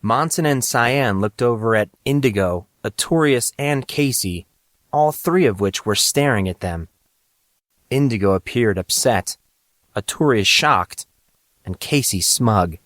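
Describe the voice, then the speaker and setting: A man's voice: medium pitch, lively intonation, unhurried, fairly bright tone, dry recording, clean studio sound. A man reading scripted narration for an audio drama of a young adult story.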